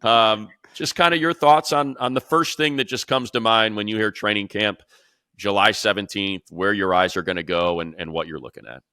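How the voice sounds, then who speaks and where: A man's voice: fairly low pitch, steady tone, moderate pace, bright, forceful tone, clean tone, dry recording, clean studio sound. An American man hosting a casual sports panel, talking informally and throwing out questions. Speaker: sports show host and play-by-play announcer.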